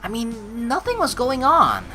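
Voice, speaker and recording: A woman's voice: on the high side, very animated, measured pace, over music. A woman doing chatty let's play commentary, reading game lines aloud expressively. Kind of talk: casual gameplay commentary and script reading.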